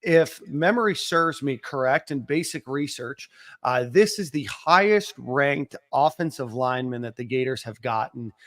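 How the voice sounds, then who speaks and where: A man's voice: medium pitch, animated, normal speed, fairly bright tone. A man hosting a sports podcast, talking casually with many uhs and some self-mockery. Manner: filler-heavy, uh-laden, self-mocking. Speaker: podcast host.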